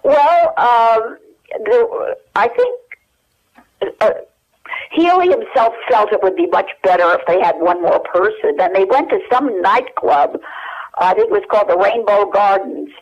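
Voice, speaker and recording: A woman's voice: medium pitch, unhurried. An older woman reminiscing as an interview guest over the telephone, in a rambling, hesitant conversational way. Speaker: interview guest sharing family memories. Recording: dull telephone line.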